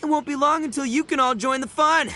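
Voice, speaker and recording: A man voicing a boisterous, boastful young gang leader in a game, bragging loudly and theatrically in slang. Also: very high voice, brisk. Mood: boastful, rousing. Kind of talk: animated game character dialogue.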